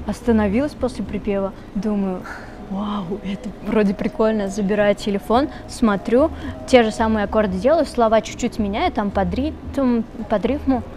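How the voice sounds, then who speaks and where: A young woman singer answering questions in an easy, chatty way, full of little fillers. Her voice sits at an ordinary pitch. Traffic noise hums clearly behind her. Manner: relaxed, chatty, switches between Russian and Tatar, many fillers. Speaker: singer being interviewed. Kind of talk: casual interview answers. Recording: noisy background with traffic.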